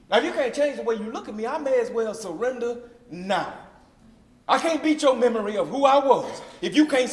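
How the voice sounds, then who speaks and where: An actor performing a stage monologue as a defensive working man, pleading passionately with his wife. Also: extremely high pitch. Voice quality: impassioned, pleading. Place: performance room. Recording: echoey room.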